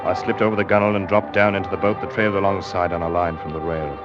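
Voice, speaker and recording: A man's voice: deep voice, level tone, fast talker, close to an everyday voice. An actor playing an earnest young seaman, telling his tale with dramatic intensity in a 1940s radio drama. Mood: tense, dramatic. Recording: muffled old radio recording, loud music.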